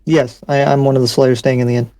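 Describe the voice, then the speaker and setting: A man playing a dutiful, resolute demon slayer in an anime roleplay, speaking in a measured, plain-spoken way. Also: medium pitch, level tone, crowd noise, close to an everyday voice. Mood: agreeable. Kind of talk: improvised Minecraft anime roleplay. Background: music under the voice.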